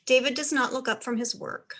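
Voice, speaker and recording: A woman's voice: high voice, steady tone, a little fast, a bit bright. A woman reading stage directions as scripted narration for a play.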